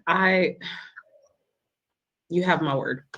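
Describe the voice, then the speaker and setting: A woman's voice: fairly low pitch, extremely slow, a bit bright, dull audio, heavily acted. A woman playing a caring, reassuring nurse on evening rounds in a dramatic table read. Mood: gently supportive.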